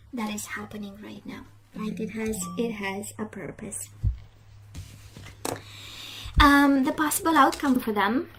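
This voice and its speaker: A woman's voice: mid-range voice, a bit bright. A woman reads tarot for the viewer in a mid-range voice with very little rise and fall. She speaks casually and reflectively, stopping and restarting, and repeats phrases to press a point. There is a faint layer of noise behind her. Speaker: tarot reader. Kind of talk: casual spoken tarot love reading, addressing the viewer.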